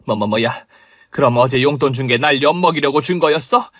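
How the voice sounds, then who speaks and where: A coarse, foul-mouthed gambler woman, voiced by a man in an audio story. She yells and swears in a high, strained voice. The recording is dry and close. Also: moderate pace, dry recording. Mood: panicked. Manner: shrill, cursing and yelling.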